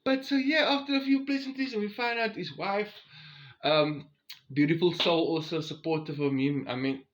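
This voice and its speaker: A forty-year-old South African man casually telling a personal story to his viewers in an expressive, chatty way. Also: high-pitched, moderate pace. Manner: expressive, chatty, acts out quoted exchanges. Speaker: vlogger.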